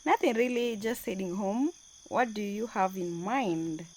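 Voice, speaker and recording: A woman's voice: medium pitch, a little slow, a little hiss or hum, natural voice. A woman acting a bold, self-assured working wife in a radio drama. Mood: friendly, easygoing. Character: bold, defiant, fun-loving working wife.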